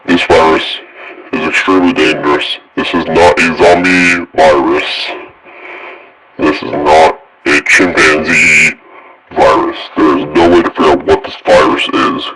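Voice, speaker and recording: A man playing a grave emergency alert announcer who delivers warnings in a halting, repetitive way. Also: very deep voice, flat delivery, rough recording. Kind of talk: improvised emergency broadcast announcement.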